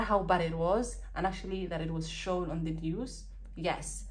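A young woman in her twenties with a Kenyan accent, chatting candidly and animatedly in a storytime vlog recorded in a small bedroom. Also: medium pitch. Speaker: vlogger.

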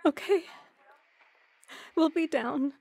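A woman acting a tender, heartbroken young mother in an audio drama, halting and emotional. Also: high-pitched, vocal fry, mellow, a little hiss or hum, full character voice. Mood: tearful.

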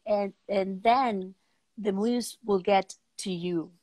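A woman in her fifties hosting a casual interview on a video call, pausing often as she searches for words. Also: mid-range voice, a little mellow, no room sound. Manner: hesitant, pausing mid-sentence to search for words. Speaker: talk show host. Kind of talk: casual interview chat about art. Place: indoor room at home, on a video call.

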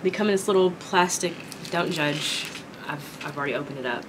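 A young woman from Kentucky chatting casually while eating, her voice raspy from a sore throat, rambling with many ums. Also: low-pitched, fairly quick, background noise. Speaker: vlogger. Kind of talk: casual mukbang chat. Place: small indoor room with dark curtain backdrop.

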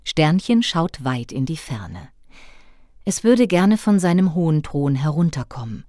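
Female storyteller for children's audio play, low voice, dry close sound. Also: very animated, a little slow, mellow, no room sound. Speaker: narrator.

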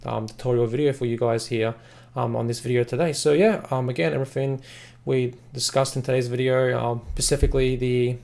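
A man hosting a casual software tutorial, explaining in a rambling, repetitive way. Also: mid-range voice, steady tone, fairly quick, creaky. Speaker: software reviewer, tutorial host. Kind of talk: casual screen-recording tutorial narration.

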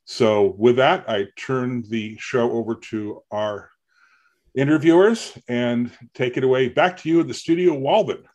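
A man's voice: mid-range voice, unhurried, darkish tone. A middle-aged man hosting, giving welcoming remarks over a video call. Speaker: society president, show host.

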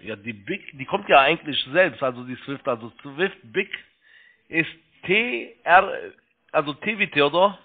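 A man's voice: fairly high pitch, animated, a little slow. Male call center agent on a phone line. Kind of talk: informal phone sales conversation. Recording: band-limited phone-call audio.

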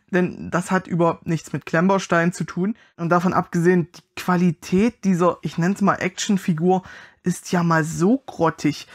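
A man giving casual, wry and critical commentary as he reviews an unboxing. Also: sits high.

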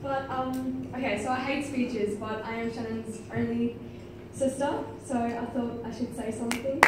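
A woman's voice: a little high, fairly even intonation, normal speed, noisy background. A young woman, the bride's sister, giving a nervous wedding speech. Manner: nervous, soft-spoken. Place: wedding reception hall. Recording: echoey hall from far off.

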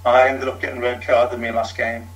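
A man's voice: medium pitch, little pitch movement, fast. A middle-aged man with a Liverpool accent, a former footballer telling his story frankly in a long interview answer. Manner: frank, talks in long runs with fillers. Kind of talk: candid storytelling.

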